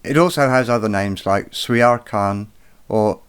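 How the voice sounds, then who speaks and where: A man presenting an explanatory lesson, speaking in a slow, measured way and taking care over each pronunciation. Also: lowish voice, smooth. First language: not Irish. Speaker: presenter of an educational video.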